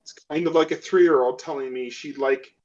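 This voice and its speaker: A man's voice: a little high, fairly even intonation, normal speed, a little mellow, close to an everyday voice. A man playing a skeptical, self-interested crew member in a tabletop role-playing game, arguing emphatically on a dull microphone. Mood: argumentative.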